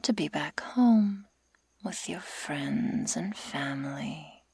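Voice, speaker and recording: Woman performing a sadistic werewolf girl, breathy and husky, low-pitched, taunting, menacing, ASMR roleplay. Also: lively intonation, darkish tone, no echo, exaggerated voice. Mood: mocking. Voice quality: breathy, mostly whispered. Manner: slow, deliberate, mock-sweet taunting. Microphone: close microphone.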